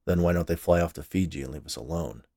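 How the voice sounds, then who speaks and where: A man voicing a grumpy, sarcastic, dismissive husband with a gruff, grumbling delivery in a storybook reading. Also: low voice, fairly quick, warm tone, no echo, studio quality.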